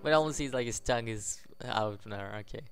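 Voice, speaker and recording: Casting: male gaming host, mid-pitched, casual and jokey, narrating a playthrough over faint music. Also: quick pace, fairly bright tone. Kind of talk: casual playthrough commentary mixed with reading game text aloud. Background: video game music.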